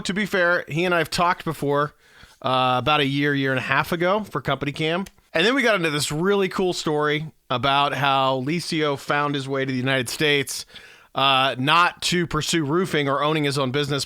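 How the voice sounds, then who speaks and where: A man's voice: on the high side, bright, forceful tone, clean tone, dry recording, clean studio sound. A man hosting a podcast, introducing his guest with enthusiastic, rambling setup. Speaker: podcast host. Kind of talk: upbeat host introduction and chat.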